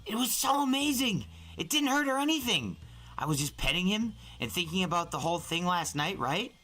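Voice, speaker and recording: A man acts out an excitable teenage boy from a storybook, pitched well up and bright. The boy gushes earnestly and emphatically, bursting with enthusiasm. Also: fairly quick, soft background music, full character voice. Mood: amazed, gushing. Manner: breathless, emphatic, boyish gushing.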